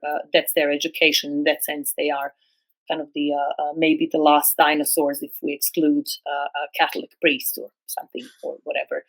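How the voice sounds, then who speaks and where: A middle-aged woman who grew up speaking Serbo-Croatian, a guest philosopher on a podcast, speaking slowly and hesitantly with many fillers and restarts. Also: deep voice, poor audio. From former Yugoslavia. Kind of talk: academic podcast discussion.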